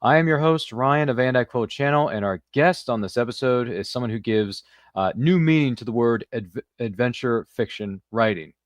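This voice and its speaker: A man's voice: medium pitch, lively intonation, moderate pace, dry recording. A man hosting a casual interview show, chatty and informal, often speaking directly to his listeners. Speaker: podcast host.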